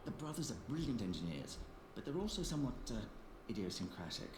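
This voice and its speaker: An actor playing a polished, formal male intermediary who speaks in measured, careful phrases. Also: mid-range voice, big pitch swings, lightly acted. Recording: roomy game audio with background noise.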